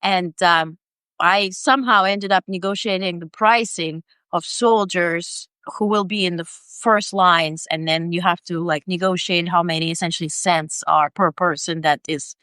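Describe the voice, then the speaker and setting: Woman from ukraine, lowish smooth voice, lots of ums, dry close sound. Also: fairly even intonation, measured pace, bright, forceful tone, no room sound. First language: Ukrainian or Russian. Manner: thoughtful, many fillers and restarts. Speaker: independent journalist, guest. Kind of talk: conversational interview.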